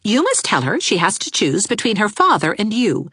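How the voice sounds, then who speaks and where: A woman voicing a cool, sensible young woman teacher who speaks in a brisk, matter-of-fact way. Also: medium pitch, wildly swooping pitch, a bit bright, husky, no room sound, studio quality, voice pushed up, heavily acted. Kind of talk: audiobook character voice.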